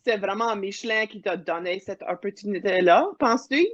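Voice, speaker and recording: A woman's voice: mid-range voice, normal speed, dry recording, muffled recording. A woman hosting a career event on a video call, informal and friendly. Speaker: host.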